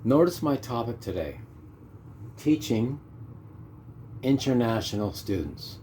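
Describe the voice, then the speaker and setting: A man's voice: mid-range voice, very animated, takes it slowly, warm tone, a little hiss or hum. Emphatic Canadian man teaching. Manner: emphatic, repeats key points, rhetorical questions. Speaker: ESL teacher. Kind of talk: instructional monologue.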